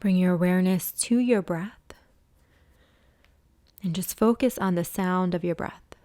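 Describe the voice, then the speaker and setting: A woman leading a guided body scan meditation as a teacher, speaking in a soothing, hushed way with long pauses. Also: lowish voice, dry recording.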